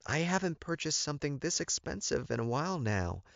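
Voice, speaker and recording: A man's voice: fairly high pitch, sing-song, brisk, gravelly, no room sound, pitched above the performer's own voice. A man voicing an anxious, apologetic young woman shopper in an audiobook, hesitant and polite. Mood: anxious, declining.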